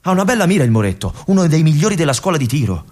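An actor playing a brooding male army lieutenant in a theatrical monologue, with an intense, hushed delivery and phrases that drop to a whisper. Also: on the high side, very animated, racing. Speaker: stage actor.

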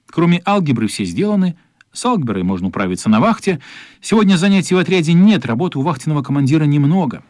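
Male narrator, lively intonation, close dry studio sound, radio drama storytelling. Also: on the high side, fast, no echo. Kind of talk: scripted radio play narration.

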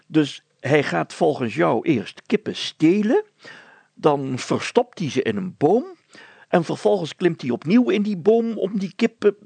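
Storybook mayor, male reader acting: officious, exasperated, loud and lifted in pitch, with a heavy creak. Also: sing-song, a little slow, no echo, full character voice. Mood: amused disbelief. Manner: blustering, impatient, official tone. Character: exasperated, fussy village mayor, grown man, officious.

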